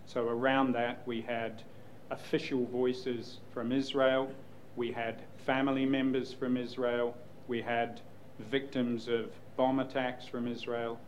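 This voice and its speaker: A man in a question session, answering at length in a measured way with frequent pauses and ums. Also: mid-range voice, flat delivery, a little hiss or hum. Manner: measured, hesitant with many ums. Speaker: news executive answering questions. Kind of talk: Q&A panel talk.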